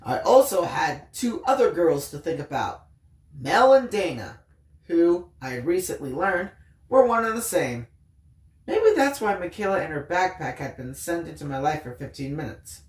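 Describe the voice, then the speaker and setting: Earnest, bookish boy storyteller, read by a woman; expressive audiobook delivery, echoey room. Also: lowish voice, moderate pace. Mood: reflective, wistful. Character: Daniel, earnest bookish boy narrator, secretly alien hunter. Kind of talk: first-person audiobook storytelling.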